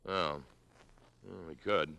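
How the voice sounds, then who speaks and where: An actor playing a casual, jaunty boyfriend in a 1950s radio drama. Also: male voice, sits low, steady tone, slow, creaky, sharp tone, no room sound, full character voice. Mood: breezy. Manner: informal, jaunty. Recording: noisy old radio recording, clicks.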